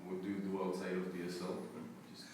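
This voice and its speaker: Young student host, hesitant and friendly, low flat voice, echoey room with audible noise. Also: male voice, quick pace. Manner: friendly, hesitant questions with many ums. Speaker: student interviewer. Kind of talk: live stream interview. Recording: roomy echo, distant mic, noisy background.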